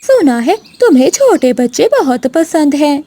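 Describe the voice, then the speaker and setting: A woman's voice: high voice, sing-song, measured pace, mellow, no echo, full character voice. A woman voicing a spiteful, scheming female crow neighbour in a cartoon, scolding and conniving.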